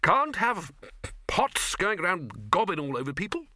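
A man voicing a blunt, blustering male head of a university with a gruff manner, in a storybook reading. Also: high voice, sing-song, measured pace, pushed and bright, dry recording, voice pushed up, heavily acted.

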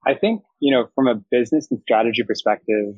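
A man's voice: medium pitch, quick pace, a little mellow, dry recording, dull audio. A young man from Thailand, a startup founder, giving fluent, reflective interview answers full of business terms.

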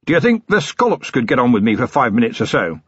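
Brief: narrator putting on jolly old army colonel, raised lively voice, husky edge. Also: male voice, medium pitch, fast talker, dry recording, pitched above the performer's own voice, exaggerated voice. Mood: hearty. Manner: hearty, rambling, cheerful. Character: bluff elderly male retired cavalry colonel. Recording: dry, slightly dull recording.

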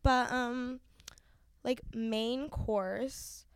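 19 yo woman from haiti, slightly high voice, giggly and chatty, dead dry mic. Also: steady tone, drawn-out words, smooth, no echo. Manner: giggly, chatty, lots of filler likes. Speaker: guest. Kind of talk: casual podcast chat.

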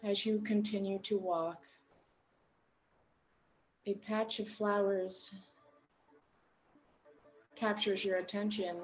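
A woman guiding a meditation in a gentle, unhurried way, leaving long pauses between short phrases. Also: mid-range voice, low-quality recording. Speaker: meditation guide. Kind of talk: guided meditation narration.

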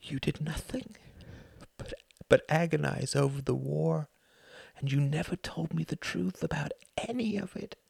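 A man's voice: fairly high pitch, measured pace, gravelly, husky, no room sound, pitched above the performer's own voice, full character voice. A man voicing a raging, desperate young son. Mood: bitter accusing. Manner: shouting, raging, pleading. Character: furious desperate young man confronting his mother. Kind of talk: audiobook character voice.

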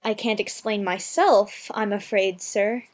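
A man's voice: high-pitched, steady tone, measured pace, voice pushed up. A man voicing a polite, anxious little girl in a storybook, with a shy and hesitant way of talking. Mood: shy, confused. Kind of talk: storybook character voice.

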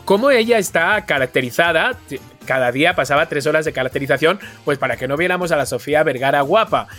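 A man's voice: fairly high pitch, animated, quick pace, a bit bright, soft background music. A man with an accent from Spain chatting casually and effusively as a podcast co-host, speaking with emphasis. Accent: Spanish from Spain. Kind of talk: casual chat.

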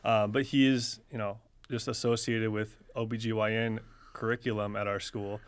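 A man's voice: on the low side, flat delivery, moderate pace, clean tone, dry recording. A man co-hosting a casual podcast, introducing the guest in a hesitant, self-correcting way. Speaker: podcast co-host.

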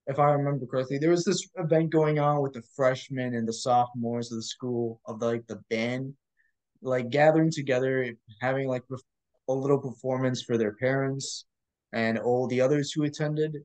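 A young college man from Michigan co-hosting a casual student talk show. His voice sits at a middle pitch, and he rambles, dotting his sentences with "like" and "um.". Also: steady tone, moderate pace, darkish tone, rough recording. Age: young adult. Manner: rambling, full of "like" and "um", laughs easily. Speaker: co-host. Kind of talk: casual chat.

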